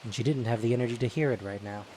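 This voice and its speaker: Male storyteller with medium pitch, faint hiss. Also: racing, dark tone. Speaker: narrator. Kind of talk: scripted audio drama narration, storytelling.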